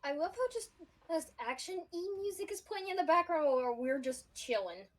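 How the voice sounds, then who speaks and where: A woman's voice: high voice, animated, moderate pace. A woman playing a tabletop role-playing game, chatting casually. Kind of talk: casual gaming chat.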